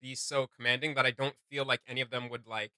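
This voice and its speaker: Bright-voiced young man chatting casually. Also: medium pitch, little pitch movement, smooth, no room sound. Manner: halting, informal, searching for words. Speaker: reaction video co-host. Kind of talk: casual excited reaction chat.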